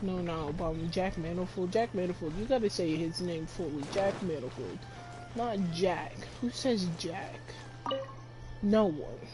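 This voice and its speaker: Teen girl rambling over a game, very lively, mid pitch, noisy background. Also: measured pace. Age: teens. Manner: rambling, repeats words for fun. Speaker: gamer playing and commenting. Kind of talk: casual playful game commentary. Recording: clear background noise behind voice.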